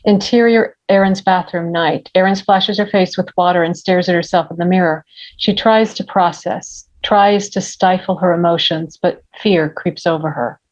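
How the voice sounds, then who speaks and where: A woman's voice: on the low side, fairly even intonation, normal speed. A woman reading screenplay scene directions as scripted narration, on a slightly lo-fi recording. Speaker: stage-direction reader. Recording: slightly dull, lo-fi audio.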